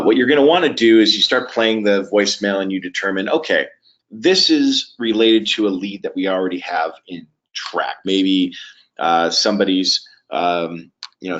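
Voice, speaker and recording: A man with a fairly low voice hosts a software webinar. He explains each step conversationally, with hesitant pauses along the way. The audio is a bit dull and lo-fi. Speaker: webinar presenter.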